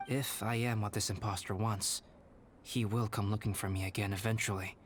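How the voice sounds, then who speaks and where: A man playing a composed, analytical young alchemist in a video game, speaking in measured, formal, precise phrases. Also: on the low side, flat delivery, slight background noise, natural voice. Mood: calm, reasoned. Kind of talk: video game cutscene acting.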